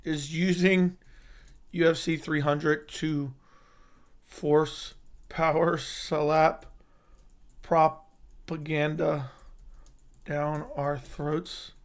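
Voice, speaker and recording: A young man talks straight to his stream viewers in a voice worn hoarse, sitting a touch higher than most men's. He gets worked up fast and swears freely, jumping between griping and excitement as he reacts to what he reads. It sounds like a casual home livestream on a desk mic. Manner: excitable, profane, reacting to news live. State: losing his voice. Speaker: MMA YouTube host.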